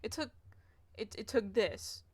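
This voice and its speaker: A woman's voice: mid-range voice, expressive, a little slow, a little mellow, slightly rough. A young woman streaming a video game, talking in a casual, sarcastic, blunt and giggly way. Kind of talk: casual live gameplay commentary.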